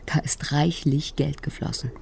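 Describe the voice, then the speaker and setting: An actress playing a cool, knowing, sardonic investigator in a naturalistic German radio crime drama. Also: female voice, low-pitched, steady tone, measured pace, creaky, a little mellow, slight background noise, exaggerated voice. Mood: explaining.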